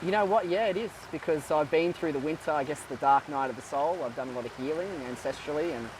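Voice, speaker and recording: A man in his twenties being interviewed, talking in a thoughtful, halting way with many fillers and restarts. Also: fairly high pitch, far from the mic, background noise. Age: 20s. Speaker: guest. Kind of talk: casual interview.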